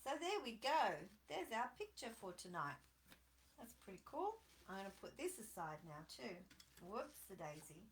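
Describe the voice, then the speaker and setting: A woman's voice: mid-range voice, very animated, normal speed, slight background noise. A woman hosting a live children's craft session, chatty and encouraging as she talks straight to young kids. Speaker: children's storytime host. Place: at home on a live video stream.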